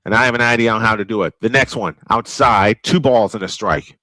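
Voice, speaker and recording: A man's voice: fairly low pitch, brisk, bright, forceful tone, dry recording, low-quality recording. A man calling live baseball play-by-play in a folksy, old-school broadcaster cadence. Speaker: play-by-play announcer.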